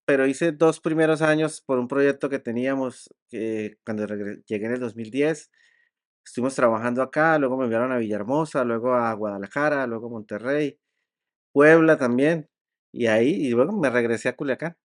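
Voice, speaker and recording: Reserved Colombian man in his forties. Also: mid-range voice. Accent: Colombian Spanish. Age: 40s. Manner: reserved, measured, searches for words. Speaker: podcast guest. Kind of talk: casual interview chat.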